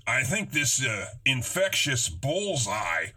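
A man's voice: medium pitch, sing-song, slow, sharp tone, husky. An American man co-hosting a podcast in casual banter, with a sardonic, folksy manner. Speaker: podcast co-host.

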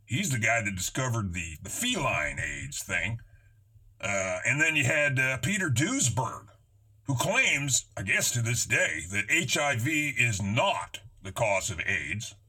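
A man's voice: medium pitch, big pitch swings, measured pace, bright, forceful tone, husky. An American man co-hosting, giving casual, opinionated commentary in a slangy, sarcastic way. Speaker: podcast co-host.

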